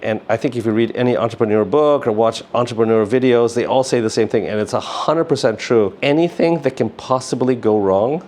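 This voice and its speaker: A man's voice: mid-range voice, brisk, few pauses, a little mellow. A middle-aged man speaking casually as an interview guest, in a rambling way peppered with filler phrases. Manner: rambling, often says "you know" and "like". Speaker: interview guest, business founder.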